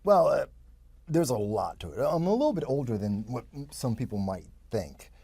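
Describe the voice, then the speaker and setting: A man's voice: mid-range voice, big pitch swings, normal speed, creaky, dark tone, husky, no echo. A man, chatting as an interview guest and rambling with many fillers and restarts. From the Bronx, New York. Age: 50s. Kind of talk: casual interview talk.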